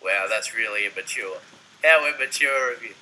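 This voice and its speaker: A man's voice: lowish voice, moderate pace, sharp tone. A young man chatting casually on a morning livestream from a living room, sleepy and joking. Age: young adult. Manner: sleepy, joking, laughs often. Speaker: band member on livestream. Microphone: laptop webcam mic. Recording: noisy webcam audio.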